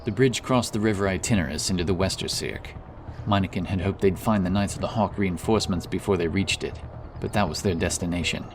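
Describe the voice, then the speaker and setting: A man narrating a fantasy story in a measured, solemn, dramatic storytelling manner. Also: low voice, background noise. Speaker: audiobook narrator.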